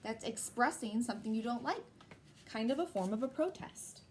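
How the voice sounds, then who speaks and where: A woman's voice: fairly high pitch, expressive, moderate pace. Woman teacher reading aloud, noisy recording. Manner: explains simply, as to young children. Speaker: teacher reading a picture book to a class. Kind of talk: read-aloud with explanatory asides. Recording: noisy background.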